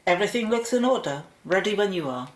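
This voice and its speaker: A woman acting a brisk, focused research scientist giving instructions in a radio play. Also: mid-range voice, expressive, echoey room, natural voice.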